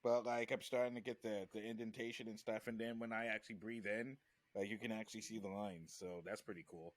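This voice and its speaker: A man's voice: lowish voice, steady tone, a little fast. A man chatting casually as a podcast host, rambling and repeating himself to get his point out. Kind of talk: casual chat.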